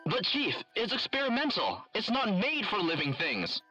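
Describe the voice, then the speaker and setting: Nervous game lab assistant, high bright voice, very deferential. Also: male voice, huge pitch swings, normal speed, husky, muffled recording, soft background music, heavily acted. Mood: anxious. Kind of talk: video game dialogue acting.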